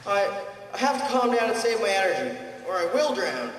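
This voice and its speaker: A man's voice: high-pitched, big pitch swings, fairly quick. Echoey teenage schoolboy, acted by a man. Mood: panicked. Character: 15-year-old schoolboy, cheeky and reluctant, 1920s. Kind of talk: scripted audio drama acting. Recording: echoey hall reverb with faint noise.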